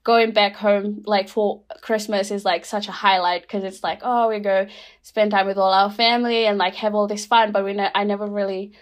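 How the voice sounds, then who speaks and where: A woman's voice: mid-range voice, level tone, moderate pace. Chatty young woman, heavy fillers. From Rotuma, Fiji. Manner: chatty, many fillers, laughs easily. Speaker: guest. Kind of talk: casual reflective conversation.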